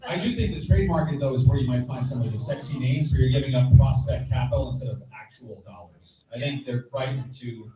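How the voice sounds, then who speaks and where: A man's voice: mid-range voice, brisk, few pauses. Distant, echoey male sports panelist. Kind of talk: conversational sports analysis. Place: live on stage at a fan convention. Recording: echoey, distant mic, audible crowd.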